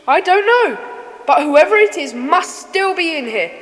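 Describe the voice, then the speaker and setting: A woman's voice: extremely high pitch, very animated, a little slow, a bit bright, long reverb tail, full character voice. A woman playing an earnest, puzzled schoolboy in a children's adventure audio drama. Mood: puzzled, insistent.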